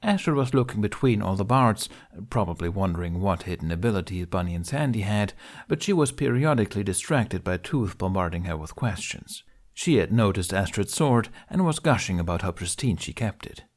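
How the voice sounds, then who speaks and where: Low-voiced man narrating a story. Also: animated, a little fast. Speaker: podfic narrator. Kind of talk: scripted narration of a fantasy story.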